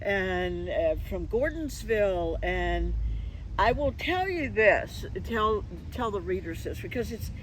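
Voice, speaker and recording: A woman hosting an unscripted interview, chatting in a halting, conversational way and often restarting her phrases. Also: medium pitch, big pitch swings, low-quality recording. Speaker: interviewer and host. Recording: clear traffic and street noise behind.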